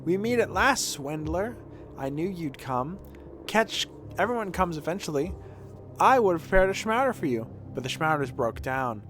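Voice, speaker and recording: A proud, accusing child apprentice from a video game, read out by a man. The voice is somewhat high and animated, defiant and full of reproach, with music behind. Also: moderate pace. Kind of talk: reading game dialogue aloud.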